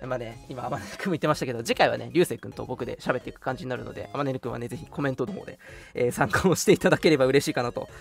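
A young man hosting a casual live radio chat, talking politely and chattily with soft hedges between announcements. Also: mid-range voice, racing, creaky, hardly any pauses, soft background music. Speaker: radio show host.

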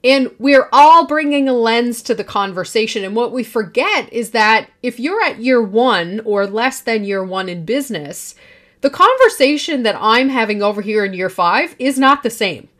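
A woman's voice: a little high, animated, normal speed, a bit bright. Confident projecting woman podcast host. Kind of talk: solo podcast monologue.

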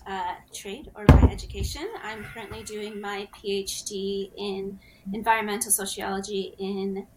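A woman's voice: mid-range voice, level tone, normal speed. Young woman, thoughtful, faint background noise. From Canada, born in Egypt. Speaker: roundtable guest, PhD researcher. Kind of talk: casual self-introduction.

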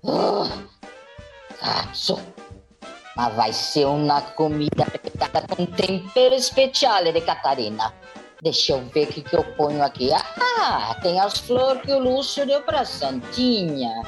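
A defiant, scheming young woman played by a woman in a comic radio soap. Her lowish voice swoops theatrically as she mutters spitefully to herself, with soft music behind. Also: huge pitch swings, unhurried, heavily acted. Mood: mischievous scheming.

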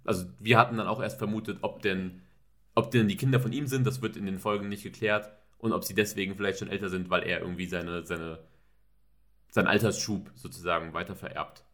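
Podcast guy, lowish but bright sharp voice, retells scenes casually. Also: steady tone, brisk. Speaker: podcast co-host. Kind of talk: casual episode discussion.

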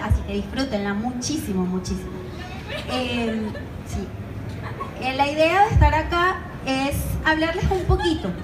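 A Venezuelan woman with a high voice speaks to a room of students. She talks in a measured way and checks in with them as she goes. Her voice echoes around a lecture hall over a steady noise. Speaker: guest speaker at a university. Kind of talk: presentation to students.

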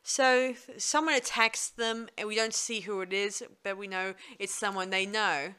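A woman's voice: medium pitch, fairly even intonation, measured pace, pushed and bright, smooth. A woman co-hosting a casual film review chat, often searching for words with ums and restarts. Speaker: podcast co-host.

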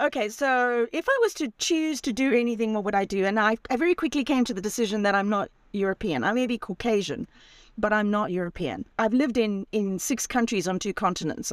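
A woman's voice: mid-range voice, dry recording, clean studio sound. A woman in her sixties from Zimbabwe, telling her story as a podcast guest in a chatty, self-deprecating way over a remote call from her campervan. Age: 60s. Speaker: guest, author and van traveller. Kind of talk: casual storytelling interview.

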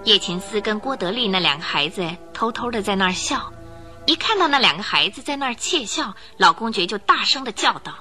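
A woman narrating a radio drama with expressive storytelling. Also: mid-range voice, fairly quick. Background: music.

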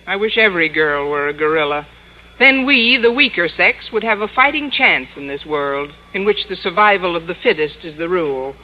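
A woman playing a wry, sardonic schoolteacher with a dry deadpan delivery in radio sitcom style. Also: fairly low pitch, moderate pace, close to an everyday voice. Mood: wry despair. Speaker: lead actress and narrator. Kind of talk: 1940s-50s radio sitcom acting. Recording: muffled old radio recording.

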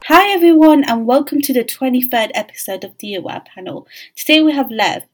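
A woman with a slightly high voice speaks as a podcast host. She addresses listeners and guests in the manner of an interview show. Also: expressive, brisk, husky.

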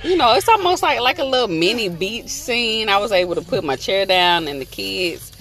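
A woman's voice: deep voice, fairly bright tone. A woman in her thirties chatting casually for a travel vlog from inside a moving car, laughing often. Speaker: vlogger.

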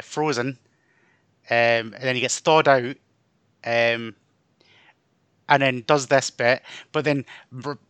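Bright-voiced man rambling on a podcast. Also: mid-range voice, smooth, dry recording. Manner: rambling, self-mocking, swears. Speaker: podcast co-host. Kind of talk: casual chat.

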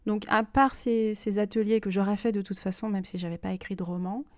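A woman's voice: medium pitch, fairly even intonation, fairly quick, a little mellow, no echo, studio quality. A woman hosting a personal podcast, speaking unscripted and reflectively, often hesitating as she searches for her words. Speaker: podcast host and self-published author.

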